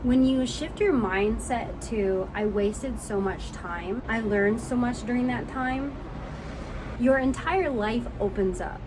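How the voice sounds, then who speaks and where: A woman's voice: on the high side, slow, noisy background. A woman in her thirties giving an emphatic, encouraging motivational talk, calling listeners honey and y'all. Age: 30s. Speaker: vlogger.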